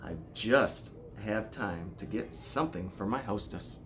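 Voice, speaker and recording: A man's voice: fairly low pitch, measured pace, fairly bright tone. An earnest, dapper storybook lion read aloud by a man, polite and eager. He exclaims his lines over noticeable background noise.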